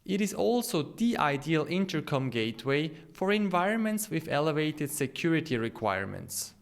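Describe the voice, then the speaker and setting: A man's voice: a little high, sing-song, normal speed, vocal fry. A man reading a scripted promotional explainer as the narrator of a product video.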